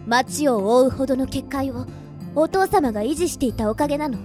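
A woman voicing a haughty, sharp-tongued young demon girl in a Japanese anime-style radio drama, snippy and proud. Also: high-pitched, fairly quick, faint music behind, natural voice. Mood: haughty, serious.

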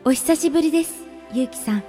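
A woman playing a polite, gentle young female pen pal reading her letters in a radio drama. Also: sits high, fast, natural voice. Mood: apologetic. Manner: polite, demure. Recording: clear music bed under the voice.

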